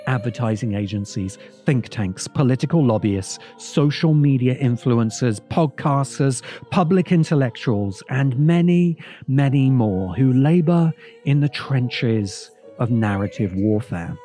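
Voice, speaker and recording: A man's voice: mid-range voice, dark tone. A man reading scripted narration as the narrator of a video essay.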